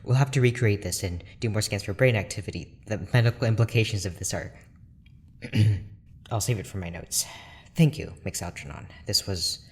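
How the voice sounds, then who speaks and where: A man acting a reserved, clinical research doctor in an audio drama, formal, measured and dryly blunt. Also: lowish voice, lightly acted. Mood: excited, pensive. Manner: formal, measured, clipped, deadpan.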